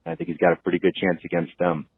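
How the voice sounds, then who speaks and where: A man with a lowish, husky voice comes through a thin phone line. He is a caller from Canada, casually putting a question to a sports show. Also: little pitch movement, quick pace, dry recording. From Alberta, Canada.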